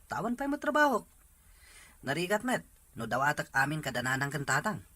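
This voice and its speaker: A man's voice: fairly high pitch, big pitch swings, fairly quick, bright, forceful tone, full character voice. A young man acting an evasive, irresponsible young man in a drama serial. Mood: defensive.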